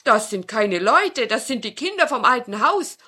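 A woman's voice: on the high side, very animated, normal speed, bright, forceful tone, husky, voice pushed up, full character voice. A woman voicing a friendly old male dog in a children's fairy tale, raised and emphatic. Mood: eager.

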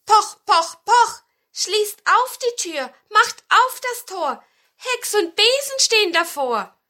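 A woman voicing a friendly, eager forest witch in a storybook, with emphatic, animated delivery. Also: high-pitched, a little slow, voice pushed up, heavily acted. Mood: calling out.

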